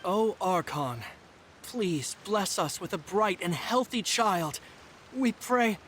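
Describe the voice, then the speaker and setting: Game bit part: hopeful young husband, devout, slow delivery, slight ambient noise. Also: male voice, high-pitched, expressive, a bit bright, full character voice. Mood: reverent. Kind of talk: video game character acting.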